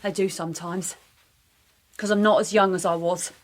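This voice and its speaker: An actress playing an ageing, weary working-class London prostitute in a radio drama, speaking plainly and colloquially in a coaxing way. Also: female voice, lowish voice, steady tone, moderate pace, close to an everyday voice. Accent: London working-class English. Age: older. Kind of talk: naturalistic radio drama acting.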